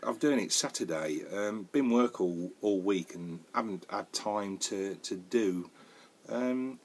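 A man's voice: lowish voice, slow, husky. An older man in his sixties with a Northern English accent, chatting casually in a jokey, rambling way with fillers and mild swearing, filmed on an action camera in his wooden garden shed. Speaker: home brewing vlogger. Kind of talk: casual chatty product review. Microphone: action camera close up.

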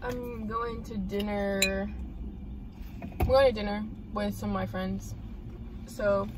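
A young woman shares blunt, laid-back opinions while sitting in the passenger seat of a parked car. She sits a little away from a dashboard phone mic, so her voice sounds slightly distant. Her pitch is average for a woman, with a faint hum of noise behind her. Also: steady tone, takes it slowly. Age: young adult. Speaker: host's daughter, co-reviewer. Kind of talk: casual food review chat. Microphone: phone camera on the dashboard, a bit distant.

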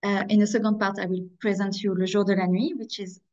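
A young woman with a strong French accent presents a webinar over a video call, speaking carefully and a little haltingly, in dull lo-fi call audio. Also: mid-range voice, little pitch movement, a little mellow. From France. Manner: careful, slightly halting, apologetic.

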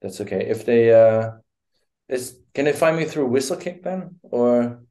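A man's voice: medium pitch, animated, measured pace, dark tone. A middle-aged man with a Dutch accent, a guest speaking on a video call, hesitant with many fillers and restarts. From Netherlands. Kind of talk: casual interview. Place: home room.

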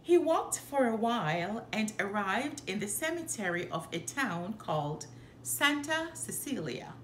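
A middle-aged woman reading a children's storybook aloud with careful, expressive phrasing, as a storyteller. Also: mid-range voice, a little slow. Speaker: storyteller, channel host. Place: indoor living room by a fireplace. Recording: roomy echo, some background noise.

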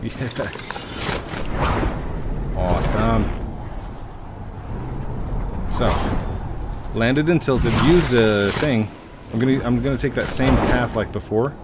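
Man, late thirties, chatty gamer, headset mic, middle pitch, steady room noise. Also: takes it slowly, pauses often. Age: 30s. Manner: rambling, self-mocking, chatty. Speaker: gaming YouTuber. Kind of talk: casual gameplay commentary. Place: home room at a desk.

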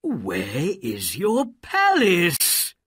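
Imperious male ruler for a children's tale, read by a woman, wrathful, husky, forceful and deliberate. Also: on the low side, huge pitch swings, no room sound, studio quality, pitched above the performer's own voice, heavily acted. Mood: angry, demanding. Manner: loud, imperious, demanding. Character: angry, commanding Sultan, father of a princess.

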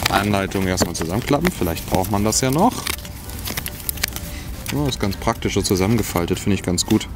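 A man's voice: on the low side, lively intonation, fairly quick. A man casually reviewing a cheap survival kit outdoors, musing aloud as he tries each item. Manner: musing, talks while handling items. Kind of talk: casual hands-on product review. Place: outdoors on grass by a log. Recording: music playing underneath.

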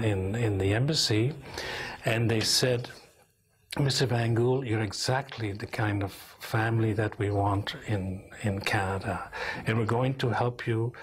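Unhurried older man, deep voice. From Belgium. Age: 70s. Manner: unhurried, thoughtful storytelling with small restarts. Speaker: interviewee, engineer and company president. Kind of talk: reflective oral history interview. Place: small office, indoors, seated at a desk.

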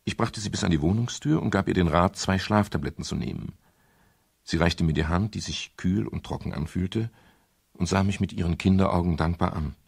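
An actor playing a wry, world-weary private detective in a radio crime drama, with a gravelly voice and dry, unhurried manner. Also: male voice, low-pitched, no room sound, full character voice. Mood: reflective narration. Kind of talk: 1970s German radio crime drama acting and narration.

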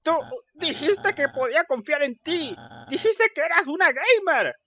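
A woman's voice: high voice, lively intonation, unhurried, sharp tone, highs cut off, heavily acted. A woman voicing an indignant, self-important young gamer bird boy in a video game fan dub. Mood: betrayed.

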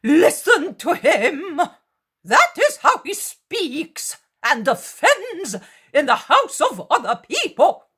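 A woman puts on an angry man heckling from a mob in an audiobook tale. The voice jumps high, loud and mocking, full of scorn and hostility. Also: sing-song, slow, exaggerated voice. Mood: jeering, furious.

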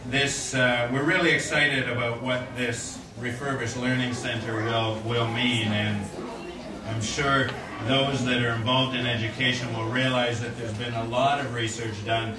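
A man's voice: medium pitch, little pitch movement, a little slow, a crowd in the background. Man speaking at a podium, roomy sound. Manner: earnest, with frequent fillers and restarts. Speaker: corporate speaker at a donation ceremony. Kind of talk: formal speech. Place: indoor room at an event. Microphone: stand microphone at a podium. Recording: roomy, distant-miked event audio with noise.

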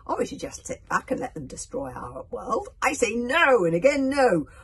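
A woman's voice: on the high side, huge pitch swings, normal speed, vocal fry, husky, voice pushed up, heavily acted. A woman voicing a brutal, merciless male villain king from a storybook, sneering and gloating as he declaims with menace. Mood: gloating tyranny.

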